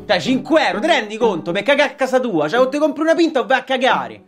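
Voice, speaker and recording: An outraged young Roman flatmate, very high in pitch and swinging up and down. He is coarse, sarcastic and incredulous, in sketch comedy style over clear music. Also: quick pace. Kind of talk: naturalistic sketch comedy acting.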